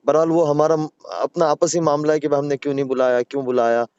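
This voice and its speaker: A man's voice: fairly high pitch, flat delivery, quick pace, a little mellow, clear, resonant tone, dry recording. A man from Gujarat pleads his case deferentially and earnestly as a caller in a private phone conversation. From Gujarat, India. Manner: deferential, earnest, repeatedly addressing the listener respectfully. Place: on the road, phone call. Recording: muffled, dull phone-call recording.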